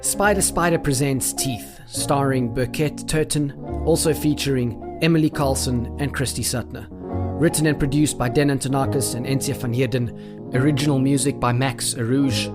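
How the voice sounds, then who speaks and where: An announcer with a medium-pitched voice reads scripted podcast credits. Music plays clearly behind the voice. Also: fairly even intonation, normal speed.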